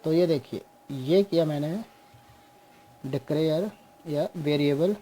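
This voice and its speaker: Man, young adult, coding teacher, very slow, explains step by step, mixes Hindi and English, slightly high voice, faint background noise. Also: dark tone, poor audio. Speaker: programming teacher. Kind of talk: screen-share coding lesson.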